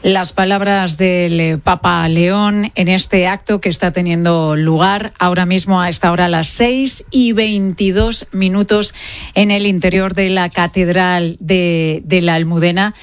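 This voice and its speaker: A woman's voice: lowish voice, fairly even intonation, unhurried, clear, resonant tone, dry recording. A woman hosting a live radio broadcast, talking conversationally with some fillers. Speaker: radio host. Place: stadium broadcast position.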